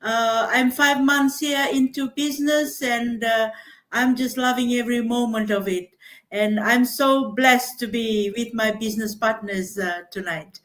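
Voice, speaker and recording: A woman's voice: on the high side, fairly even intonation, measured pace, fairly bright tone. An older woman from Fiji, a grandmother, introducing herself hesitantly as a panelist with frequent fillers.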